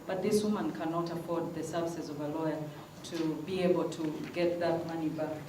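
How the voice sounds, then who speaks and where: A woman's voice: deep voice, fairly even intonation, a little fast, warm tone. Earnest woman in an echoey hall. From Uganda. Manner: earnest, insistent, repeats "I want us to think". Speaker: legal aid program worker, panel participant. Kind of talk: conference floor remarks. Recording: echoey conference hall, mic at a distance, faint noise.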